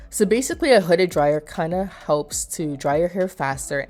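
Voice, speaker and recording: A young woman narrating a hair vlog in a chatty, explaining way, a bit nasal from a cold. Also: low-pitched. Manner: chatty, explanatory, addresses viewers as you guys. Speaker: beauty vlogger. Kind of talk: casual vlog voice-over.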